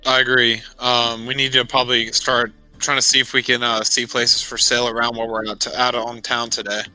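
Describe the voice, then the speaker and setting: A man's voice: medium pitch, little pitch movement, fairly quick, bright, forceful tone, soft background music, poor audio. A man talking casually as a player in a tabletop roleplaying game, discussing plans.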